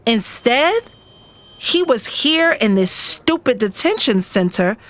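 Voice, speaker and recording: A woman's voice: sits high, a bit bright. A woman with an American accent playing an emotional, insistent young girlfriend in an audio drama. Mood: anguished. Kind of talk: first-person dramatic narration and dialogue in audio drama.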